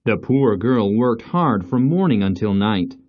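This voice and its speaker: Male fairy-tale narrator, unhurried and animated, lo-fi sound. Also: on the low side. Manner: slow, deliberate, expressive. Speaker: storyteller.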